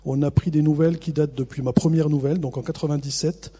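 Middle-aged guy from the vosges, local accent, low-key husky voice, chatting easy in an interview with lots of ums. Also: fast talker. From Vosges, France. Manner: relaxed, self-mocking, many hesitations. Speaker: author, interview guest. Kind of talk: radio interview.

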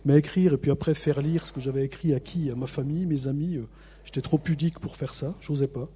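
A middle-aged man from the Vosges with a local accent, an author answering interview questions in a relaxed, self-mocking way with many hesitations. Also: a little high, fast, slightly rough. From Vosges, France. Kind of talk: radio interview.

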